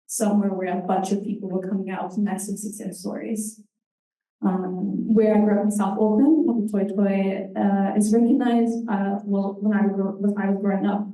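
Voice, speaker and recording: A woman's voice: mid-range voice, mellow. Young New woman presenting, distant, roomy. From New Zealand. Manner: earnest, halting with fillers. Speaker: intern presenter. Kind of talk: conference presentation. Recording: roomy hall, distant mic.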